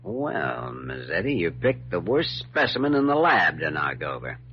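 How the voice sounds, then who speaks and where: A male American actor playing a calm, quick-thinking hospital doctor in a classic radio drama, speaking in a measured, reasoning way. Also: sits low. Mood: calm, wry. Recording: dull, noisy vintage radio recording with hum.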